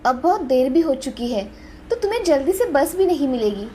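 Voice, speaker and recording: A woman's voice: sits high, sing-song, fairly quick, dark tone, slight background noise. A woman playing a kind, affectionate, generous aunt in a cartoon story.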